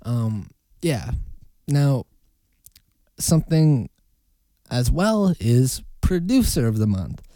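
A man's voice: medium pitch, sing-song, slow pace, pauses often, warm tone, no room sound. A young man hosting a casual solo podcast, talking in a loose, rambling way and swearing freely. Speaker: podcast host.

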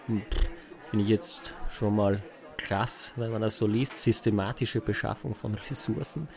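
A man's voice: on the low side, fairly even intonation, measured pace, creaky. A man from South Tyrol with a South Tyrolean accent, chatting casually as a podcast co-host. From South Tyrol, Italy.

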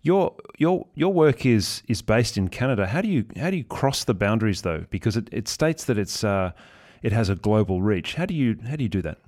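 A man's voice: on the low side, very animated, fairly quick, a little mellow. A man interviewing a guest, asking reflective questions and often murmuring agreement. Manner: reflective, with frequent agreeing backchannels.

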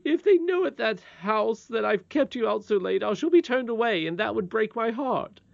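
Woman putting on a shrill, fretful storybook nursemaid. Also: expressive, moderate pace, gravelly, no echo, lo-fi sound. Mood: tearful, fretful. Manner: scolding, exclamatory. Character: fretful, indignant, tearful nursemaid, easily offended woman. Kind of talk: storybook read aloud.

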